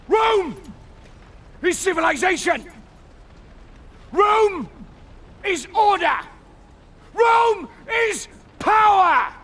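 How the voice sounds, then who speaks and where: A high-shouting, fierce Roman officer. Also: male voice, sing-song, heavily acted. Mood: rousing, fierce. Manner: bellowing, declamatory, drawn-out emphasis. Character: fierce Roman officer rallying his legionaries with fiery pride. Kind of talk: dramatic video game battle speech.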